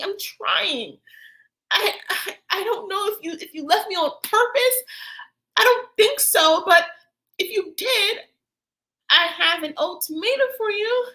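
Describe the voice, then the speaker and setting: A very high, piping voice belongs to a lonely little girl doll. She talks slowly in simple, childish grammar, her tone bright and sharp, always pining for the one who left her. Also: full character voice. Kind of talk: audio drama acting.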